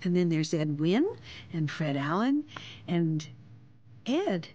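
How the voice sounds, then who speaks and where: Slow, tender, low-voiced sweetheart. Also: female voice, sing-song, highs cut off, full character voice. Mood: gently curious. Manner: tender, gently playful. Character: gentle, affectionate, coy woman in love. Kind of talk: radio drama acting.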